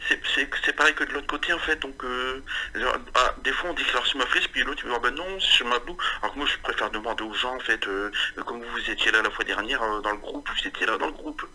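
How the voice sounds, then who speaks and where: Rambling, stammering young prank caller. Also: male voice, mid-range voice, fast, pushed and bright, poor audio. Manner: rambling, confused, stammering nonsense talk. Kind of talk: phone prank call.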